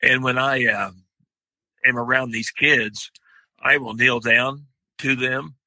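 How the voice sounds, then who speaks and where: An older man with a deep, loud voice, talking slowly in a podcast interview with many pauses and restarts. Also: fairly even intonation, no echo, rough recording. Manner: slow, halting, many fillers and restarts. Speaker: guest, outdoor writer.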